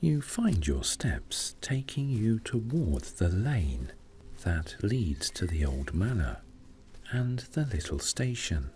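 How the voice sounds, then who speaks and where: Deep, very slow soothing male meditation narrator. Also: very animated, a little mellow, slight background noise. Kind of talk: sleep meditation story narration, scripted.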